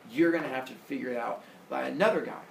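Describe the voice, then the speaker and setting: A man's voice: medium pitch, quick pace, vocal fry, roomy sound, distant mic, slight background noise, close to an everyday voice. A man voicing an exasperated, fatherly Greek god who talks in a colloquial, matter-of-fact way.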